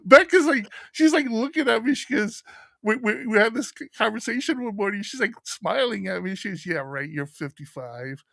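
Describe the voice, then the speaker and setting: A man's voice: high-pitched, moderate pace, gravelly, no room sound. A man in his fifties co-hosting a podcast, telling stories in casual chat and acting out the voices of people he quotes. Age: 50s. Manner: animated storyteller, acts out quoted voices. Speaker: podcast co-host.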